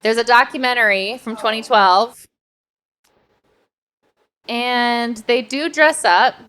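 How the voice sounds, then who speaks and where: An American woman co-hosting a casual podcast, chatting in an animated, informal way with occasional swearing. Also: fairly high pitch, takes it slowly, smooth, low-quality recording. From United States. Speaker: podcast co-host.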